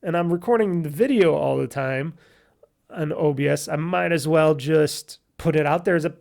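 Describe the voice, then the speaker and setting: A man with a raspy, nasal voice hosting a casual solo podcast on a USB microphone, rambling and correcting himself as he goes. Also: fairly high pitch, normal speed, darkish tone. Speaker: podcast host.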